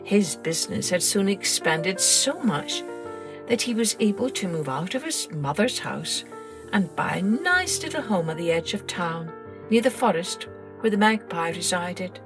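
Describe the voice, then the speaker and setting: A woman narrating a children's story in an expressive, scripted storytelling style, like a podcast host. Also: on the low side, a little slow, over music.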